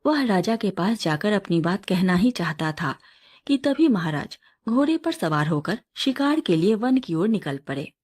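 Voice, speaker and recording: Woman narrator with lively, swooping intonation. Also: medium pitch, measured pace. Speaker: storyteller. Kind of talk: scripted moral folk-tale narration.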